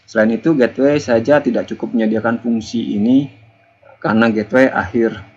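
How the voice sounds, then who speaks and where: A man presenting an article review, partly reading aloud, in a halting, explanatory way with informal asides. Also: medium pitch, steady tone, a little mellow, breathy edge, muffled recording.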